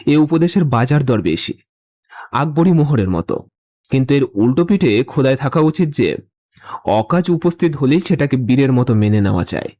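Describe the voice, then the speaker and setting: An actor playing an eloquent, playful young gentleman in an audio drama, speaking in wordy, theatrical turns. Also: medium pitch, expressive, normal speed, close to an everyday voice. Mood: teasing, declamatory. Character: Amit, witty, romantic, eloquent young gentleman. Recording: music behind the voice. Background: music.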